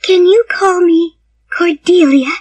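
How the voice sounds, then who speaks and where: A woman's voice: extremely high pitch, takes it slowly, darkish tone, no echo, recorded from a distance, pitched above the performer's own voice. A woman voicing a talkative, dreamy and emotional orphan girl in a storybook, with an earnest, dramatic manner. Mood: pleading. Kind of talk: storybook character voice.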